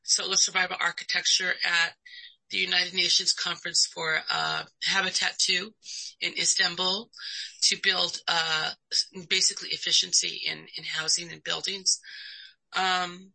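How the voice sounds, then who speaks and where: A woman's voice: lowish voice, level tone, moderate pace, gravelly, bright, forceful tone, husky, low-quality recording. An older woman near retirement introducing herself as a candidate at a public meeting, speaking plainly with some hesitation. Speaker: board candidate.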